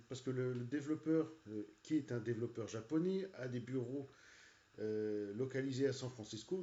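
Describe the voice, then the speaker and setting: An irritated man rambles along in casual, halting speech, stuffing his sentences with fillers and false starts. His voice is dark and mellow. It sounds dull and lo-fi, and it echoes around a hollow room. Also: mid-range voice. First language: French. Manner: hesitant, many "euh" and "voilà", annoyed. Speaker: video game reviewer. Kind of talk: casual rant while playing a game.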